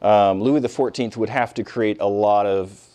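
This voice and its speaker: A man's voice: on the low side, fairly even intonation, moderate pace, mellow. A middle-aged man lecturing on history in a conversational, emphatic way, with frequent fillers and restarts. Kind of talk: classroom lecture.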